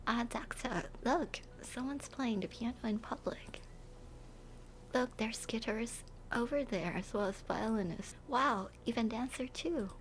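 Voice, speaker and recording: A woman voicing a gentle, caring young female game character in a soft, breathy voice for a fan dub. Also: fairly high pitch, measured pace, slight background noise, natural voice, low-quality recording. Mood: softly delighted. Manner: gentle, earnest.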